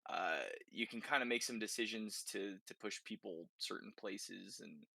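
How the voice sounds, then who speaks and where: Very bright-voiced man chatting casually about games. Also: lowish voice, moderate pace, gravelly, husky, no room sound. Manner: conversational, checks in with tag questions. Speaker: podcast host. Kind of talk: casual video game discussion.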